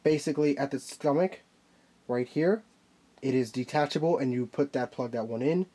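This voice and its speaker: Brief: man, mid-pitched, nasal and stuffy from a cold, chatty toy-review host walking through a figure. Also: normal speed, mellow, breathy edge. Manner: chatty, explains while handling a figure.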